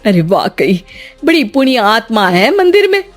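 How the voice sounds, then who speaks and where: A woman's voice: a little high, very animated, unhurried, faint music behind. A woman playing a curious, caring young village woman in a moral story, chatty and exclamatory. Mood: amazed. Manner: inquisitive, exclamatory, colloquial. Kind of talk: dramatized moral story dialogue.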